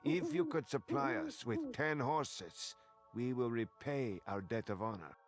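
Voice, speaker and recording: An actor playing a grave, honor-bound village chieftain in a game, with weighty, declamatory delivery. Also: male voice, mid-range voice, big pitch swings, a little slow, faint music behind. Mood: earnest pleading. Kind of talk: dramatic scripted game dialogue.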